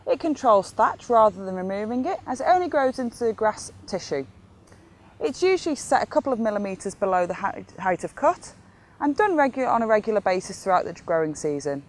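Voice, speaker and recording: A woman's voice: mid-range voice, sing-song, brisk. A woman giving scripted instructional narration as an instructor in an educational film.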